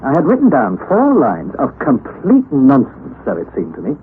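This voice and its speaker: An actor playing an earnest young nephew who narrates an adventure in a dramatic old-time radio style. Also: male voice, medium pitch, huge pitch swings, normal speed. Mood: puzzled, wry. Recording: tinny, narrow, noisy vintage radio recording.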